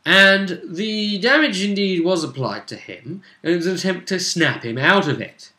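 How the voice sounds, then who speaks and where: Animated man in an echoey room. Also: high voice, moderate pace. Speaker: game master recapping a role-play session. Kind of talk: casual storytelling chat. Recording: roomy, echoing home recording.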